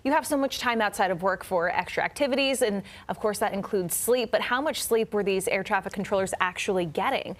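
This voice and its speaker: A woman's voice: medium pitch, fairly quick, hardly any pauses. Female American news anchor, conversational delivery for a broadcast interview segment.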